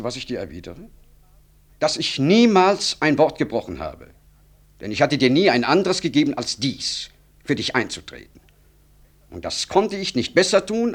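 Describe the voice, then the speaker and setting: A self-important statesman in a classic radio play. He is middle-aged and speaks in polished, rhetorical periods. His intonation rises and falls broadly as he justifies himself. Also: medium pitch. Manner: pompous, formal, rhetorical. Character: self-important male government minister, smooth, self-justifying old friend. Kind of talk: 1960s German radio drama acting.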